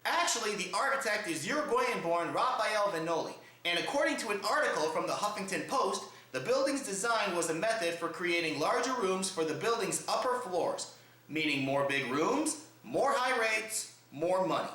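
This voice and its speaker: A man's voice: sits high, expressive, moderate pace, bright, forceful tone. A man hosting a comedic news show with a theatrical, exaggerated showman delivery. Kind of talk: comedic news commentary to camera. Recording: roomy, echo-heavy home recording.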